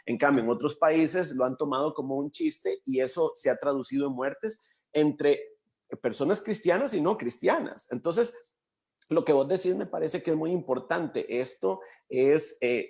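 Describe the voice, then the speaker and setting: A man with a Costa Rican accent hosts a conversational interview. He thinks aloud, pausing and restarting as he searches for words. His voice sits at an ordinary middle pitch. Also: darkish tone. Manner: reflective, halting, searches for words. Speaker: interview host.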